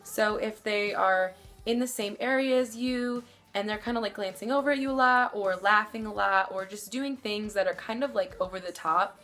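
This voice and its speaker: A young woman with a somewhat deep voice, giving casual advice to her camera and rambling with lots of fillers. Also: moderate pace, a bit bright, soft background music. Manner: chatty, rambling, many fillers. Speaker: vlogger.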